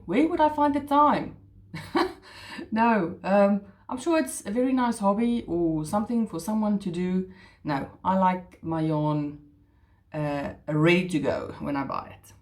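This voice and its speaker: A woman's voice: lowish voice, a little mellow. A middle-aged woman, an Afrikaans speaker, hosting her crochet channel in a chatty, self-deprecating way with hesitant restarts. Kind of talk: casual chat.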